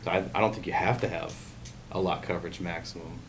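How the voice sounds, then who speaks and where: Low-voiced man explaining at a meeting. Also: steady tone, quick pace, gravelly. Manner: explanatory, reasoning through examples. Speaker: public meeting participant. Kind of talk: meeting discussion. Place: indoor meeting room. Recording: noisy meeting room recording.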